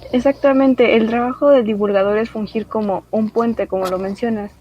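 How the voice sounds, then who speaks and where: A woman's voice: a little high, fairly even intonation, normal speed, faint music behind. A woman co-hosting a podcast, steadily moderating the questions. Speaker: podcast co-host. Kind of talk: podcast interview chat. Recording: distant, muffled lo-fi mic.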